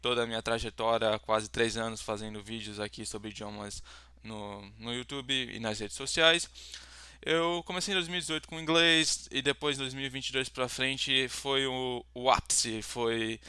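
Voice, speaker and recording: A man's voice: medium pitch, level tone, normal speed, sharp tone, clear, resonant tone, no room sound. A young Brazilian man with a Brazilian Portuguese accent, talking casually about teaching languages, rambling with many fillers and restarts. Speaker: language tutor. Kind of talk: casual self-introduction to camera.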